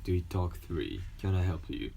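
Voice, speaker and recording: Low raspy-voiced young guy, first language japanese, mostly american english accent w a hint of japanese, reads schoolbook lessons out loud, stops and redoes words. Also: very animated, a little hiss or hum. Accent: mostly American English with a slight Japanese trace. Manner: halting, with restarts and self-corrections. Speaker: English learner reading a textbook aloud. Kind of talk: casual talk, then reading school textbook passages aloud.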